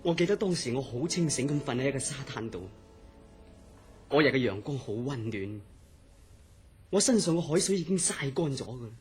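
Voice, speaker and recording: An actor playing an earnest, mysterious scientist in a horror radio drama, telling his story in a serious, deliberate way. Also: medium pitch, lively intonation, darkish tone, lightly acted. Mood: wondering, earnest. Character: earnest, mysterious scientist recounting uncanny dreams. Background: background music.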